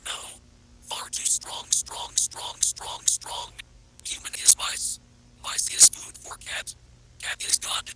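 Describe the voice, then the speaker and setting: A man voicing a sinister, godlike cat entity that is a rogue artificial intelligence, in a menacing, repetitive, breathy whisper for analog horror. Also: takes it slowly, pauses often, natural voice, low-quality recording. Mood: ominous, boastful.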